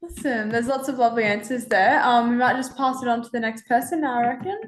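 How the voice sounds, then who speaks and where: A teenage girl leads an icebreaker for other students. She speaks hesitantly and often starts with "um". Her voice is a bit high and echoes around the room. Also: fast talker, background noise. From Australia. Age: teens. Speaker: student presenter.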